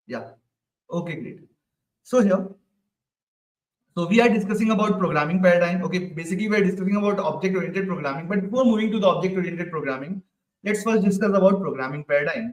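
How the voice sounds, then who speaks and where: High-voiced man teaching online. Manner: repeats questions to the class, ends lines with "right?". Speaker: programming instructor. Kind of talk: live online coding class. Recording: echoey room, mic at a distance.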